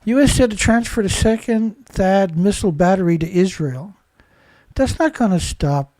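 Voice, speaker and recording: A man's voice: sits high, expressive, moderate pace, warm tone, no echo. Stuffy-nosed man ranting over news. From Canada. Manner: rambling, indignant, rhetorical questions. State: stuffed-up nose. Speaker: host. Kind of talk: solo commentary on news.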